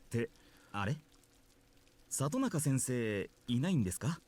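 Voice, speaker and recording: A man's voice: mid-range voice, big pitch swings, normal speed, darkish tone. An actor playing a friendly, apologetic adult man with glasses in a visual novel. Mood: puzzled, apologetic. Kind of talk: Japanese visual novel voice acting.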